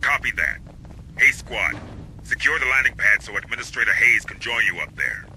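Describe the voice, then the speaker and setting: An actor playing a steady, practical marine squad leader in a military video game, clipped and commanding. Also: male voice, medium pitch, normal speed, background noise, natural voice. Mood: encouraging.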